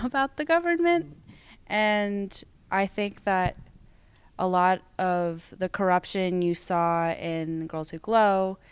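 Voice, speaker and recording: Clear-voiced, unhurried young American woman. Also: medium pitch. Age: young adult. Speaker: student theater performer and writer being interviewed. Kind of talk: casual interview answers.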